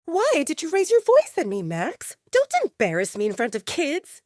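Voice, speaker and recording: A woman's voice: high-pitched, wildly swooping pitch, normal speed, darkish tone, no echo, clean studio sound, voice pushed up. A woman voicing a haughty, bratty young werewolf woman in an audio drama, scornful and mocking. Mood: indignant.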